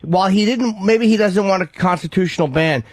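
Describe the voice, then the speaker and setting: A man's voice: high voice, a little fast, no echo. An American man co-hosting a radio show, bantering and debating in a blunt, argumentative, profane and interrupting way. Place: radio studio.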